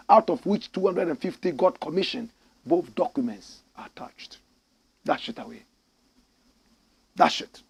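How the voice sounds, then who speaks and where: Male commentary host, higher voice, preachy and emphatic, talks straight to his audience. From Ghana. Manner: preachy, emphatic, addresses listeners directly. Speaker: political commentary show host. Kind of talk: impassioned solo political commentary.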